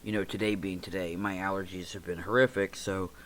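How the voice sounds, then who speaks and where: Halting, congested low-voiced man. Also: flat delivery, a bit bright. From United States. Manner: halting, with many fillers and restarts. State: stuffy and congested. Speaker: podcast host. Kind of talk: personal reflective talk.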